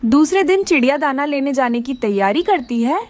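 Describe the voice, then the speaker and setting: A woman narrates a children's tale in a high voice. Her pitch moves in a lively way, and the sound is close and dry. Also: moderate pace, dry recording. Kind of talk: scripted children's story narration.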